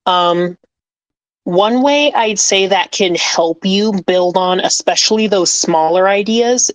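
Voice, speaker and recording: A woman script writer calmly explaining her writing habits in a casual podcast chat. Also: fairly low pitch, low-quality recording. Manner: slow, thoughtful explaining with frequent "like" and "um".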